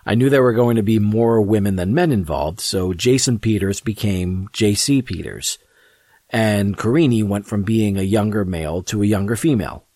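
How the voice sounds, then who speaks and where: A man's voice: fairly low pitch, normal speed, a little mellow, no echo, studio quality. A man reading a scripted introduction as the producer and host of an audio drama.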